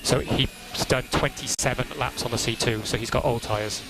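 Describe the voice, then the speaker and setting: A man working as a race engineer, giving calm, procedural briefings over team radio and repeating key instructions in a measured way. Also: mid-range voice. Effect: team radio transmission. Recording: crackly noisy motor racing team radio.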